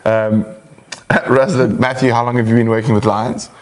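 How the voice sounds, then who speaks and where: A man hosting a live wildlife show, reading out viewer questions in a relaxed, chatty way with lots of fillers and laughs. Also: medium pitch, moderate pace.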